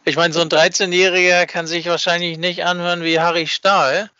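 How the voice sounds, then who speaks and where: Bright, higher-voiced man chatting haltingly. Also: flat delivery, clean tone, no echo, dull audio. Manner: halting, repeats words, seeks agreement with tags. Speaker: fan podcast co-host. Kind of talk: casual chat.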